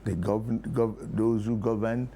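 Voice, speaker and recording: A Nigerian man speaking as an interview guest, explaining deliberately in short rhetorical phrases. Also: on the low side, little pitch movement, warm tone, a little hiss or hum. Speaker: interview guest, theatre producer.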